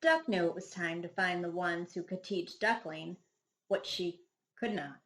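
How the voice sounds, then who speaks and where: A woman reading a children's picture book aloud like a schoolteacher, with dramatic, deliberate phrasing. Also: on the low side, fairly bright tone. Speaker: first grade teacher reading a picture book. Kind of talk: expressive children's storybook read-aloud. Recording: echoey room sound.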